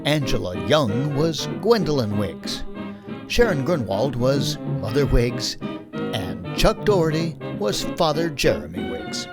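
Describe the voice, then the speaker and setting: An American man hosting as producer, reading scripted closing credits in an announcer style. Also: a little high. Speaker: producer and host. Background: music.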